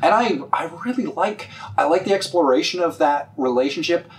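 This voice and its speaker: A young man co-hosting a casual chat about a TV episode, animated and halting, full of "like" and restarts. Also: a little high, a little hiss or hum. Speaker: podcast co-host.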